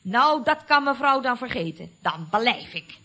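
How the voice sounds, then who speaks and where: A woman's voice: on the high side, expressive, a little slow, bright, forceful tone, exaggerated voice. A woman playing a chatty, knowing office secretary in a radio comedy, confiding and mock-soothing. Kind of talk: 1970s Dutch radio comedy acting.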